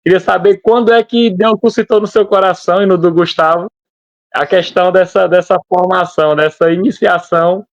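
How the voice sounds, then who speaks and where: A man's voice: high-pitched, steady tone, normal speed, no echo, highs cut off. A man co-hosting a podcast, asking long, winding questions full of repetitions. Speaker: podcast co-host. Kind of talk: conversational interviewing.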